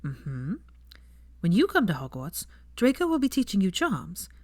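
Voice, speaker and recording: A woman voicing a protective, frank young woman in a storybook reading, earnest and gently firm with a child. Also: fairly low pitch, huge pitch swings, unhurried, no room sound, exaggerated voice. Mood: gentle, proud.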